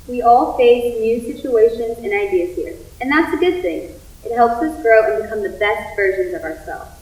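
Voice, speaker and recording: A woman's voice: fairly high pitch, lively intonation, moderate pace, a little mellow. A young woman performing scripted student readers theater lines, some way off in an echoing space. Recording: echoey, distant from the mic.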